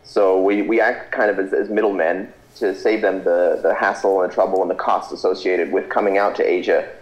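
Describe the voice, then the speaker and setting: A man's voice: deep voice, fairly even intonation, normal speed, reverberant, rough recording. A man on a video call, answering interview questions casually with frequent hesitations and restarts. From Philippines. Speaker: Skype guest.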